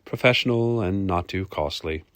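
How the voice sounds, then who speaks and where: A man voicing a charming, roguish mercenary leader in a fantasy audiobook, smooth and confident. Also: mid-range voice, expressive, moderate pace, dry recording, clean studio sound, voice pushed up. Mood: let down.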